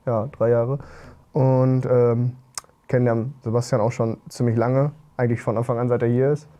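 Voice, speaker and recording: A man's voice: medium pitch, flat delivery, moderate pace, dark tone. A young man hosting a casual podcast chat, speaking in a blunt, colloquial way. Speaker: podcast host.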